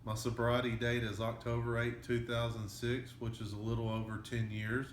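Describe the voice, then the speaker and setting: A man's voice: mid-range voice, completely flat delivery, normal speed, a little hiss or hum. A man in his thirties telling his story in a documentary interview, with frequent uh fillers. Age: 30s. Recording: echoey room.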